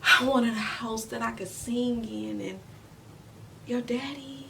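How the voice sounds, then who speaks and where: A woman in her forties playing a weary, proud, loving mother in an emotional stage monologue, speaking deliberately with heavy pauses. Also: steady tone, creaky. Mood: regretful. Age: 40s. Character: weary, proud middle-aged mother and widow, wounded but loving. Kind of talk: emotional stage monologue, self-taped audition. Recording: distant mic, noticeable low rumbling noise.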